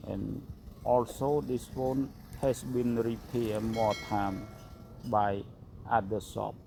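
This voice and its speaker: A man with a non-native English accent narrating a hands-on repair tutorial as a technician, speaking in short, broken, halting phrases. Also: fairly low pitch, little pitch movement, creaky, background noise. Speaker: phone repair technician and instructor.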